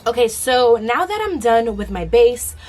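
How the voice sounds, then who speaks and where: A young woman with a raspy, hoarse voice after losing it, chatting casually through a makeup tutorial and giggling now and then. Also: a little high, sing-song, a little slow, a little mellow, faint music behind. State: hoarse, lost voice. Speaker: beauty vlogger.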